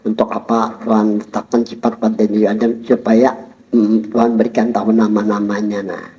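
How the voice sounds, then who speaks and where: A man giving a Sufi study-circle lecture, explaining calmly and chuckling while he addresses his listeners. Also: lowish voice, normal speed. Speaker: religious teacher. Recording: dull lo-fi recording.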